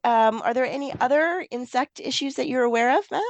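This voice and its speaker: A woman with a froggy, hoarse voice from a cold, hosting a casual gardening podcast and thinking aloud as she talks. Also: high voice, brisk, dry recording. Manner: chatty, thinks aloud with restarts. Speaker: podcast host, garden designer.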